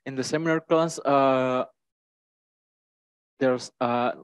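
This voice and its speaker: A man's voice: mid-range voice, steady tone, takes it slowly, clear, resonant tone, no echo. A young man from Indonesia answering as a student panelist, explaining steadily with filler "uh" into a handheld mic. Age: young adult. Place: indoor meeting room.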